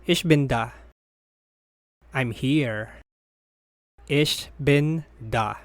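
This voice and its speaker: A teacher reading short phrases for learners, saying each one deliberately and repeating it. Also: mid-range voice, no room sound, studio quality. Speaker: language teacher.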